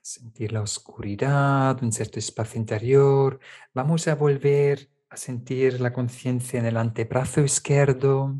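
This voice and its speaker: A man leading a guided meditation, giving calm step-by-step instructions with small checking tags in a gentle manner. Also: medium pitch, measured pace. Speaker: meditation teacher.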